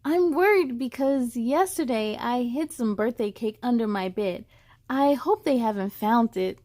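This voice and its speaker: A woman reading a children's storybook as a young girl, an easily angered big sister, in an emphatic, deliberate way. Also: fairly high pitch, darkish tone, dry recording, lightly acted. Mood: worried, confused.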